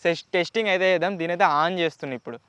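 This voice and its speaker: A man's voice: high voice, rapid-fire, a bit bright, clear, resonant tone, no room sound. A man with a Telugu accent hosting a casual unboxing vlog, chatty and explanatory, mixing in English words. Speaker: YouTube host.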